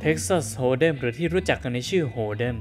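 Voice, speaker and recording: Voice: mid-range voice, lively intonation, a little slow, darkish tone, soft background music, natural voice. A voice actor playing a smug, know-it-all teenage son in a fan-dubbed cartoon, lecturing and mocking. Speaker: son at the poker table. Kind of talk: Thai fan dub of a cartoon.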